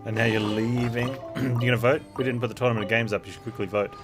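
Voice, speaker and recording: A man's voice: medium pitch, fairly even intonation, fast talker, music in the background. A man chatting playfully as he plays a game live, talking to himself and his viewers. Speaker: game streamer. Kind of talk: casual live game commentary.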